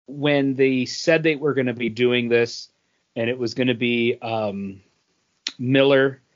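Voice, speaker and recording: Middle-aged man chatting, slightly muffled audio. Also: medium pitch. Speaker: podcast co-host. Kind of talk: casual pop-culture chat. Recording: dull lo-fi podcast audio.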